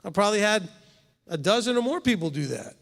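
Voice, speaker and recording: A man's voice: sits high, lively intonation, a little fast, gravelly, a bit bright, big-room sound. A man in his fifties, a rabbi leading a congregation's Torah study in a conversational way, often checking in with "okay?". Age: 50s. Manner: conversational, keeps asking "okay?". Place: congregation hall.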